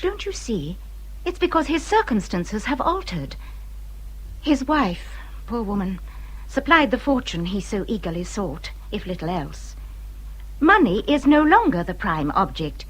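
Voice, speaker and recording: An embittered English widow in a period radio drama. Her voice is breathy and husky, with very animated pitch that rises and falls dramatically. She speaks formally and emphatically, as a wronged woman warning a friend. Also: poor audio. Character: bitter, injured widow warning a younger friend.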